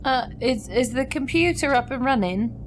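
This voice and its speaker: A woman's voice: high voice, lively intonation, moderate pace, a little hiss or hum. A woman talking casually as a player in a tabletop role-playing game.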